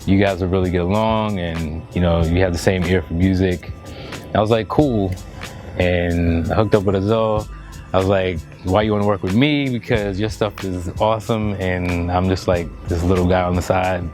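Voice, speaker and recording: A man's voice: low voice, steady tone, normal speed, darkish tone, soft background music. An American man, a musician, giving casual, laid-back interview answers in conversational language with some slang. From Washington, D.C. area, United States. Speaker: musician and producer in a band.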